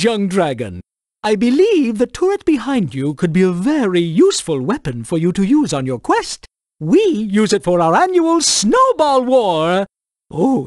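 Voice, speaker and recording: A gentle wise monk critter for a video game, super swoopy pitch, formal and polite, dry sound. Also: no room sound. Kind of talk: video game character dialogue.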